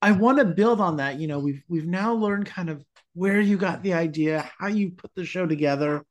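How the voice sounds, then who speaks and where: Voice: mid-range voice, moderate pace. Effusive podcast host in conversation. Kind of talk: conversational interview.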